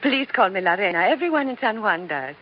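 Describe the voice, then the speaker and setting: A woman's voice: mid-range voice, big pitch swings, natural voice. Woman playing a coy femme fatale, muffled radio sound. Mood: flirtatious, coy. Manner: coy, gracious, deliberate. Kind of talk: 1940s radio acting. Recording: muffled, noisy old radio recording.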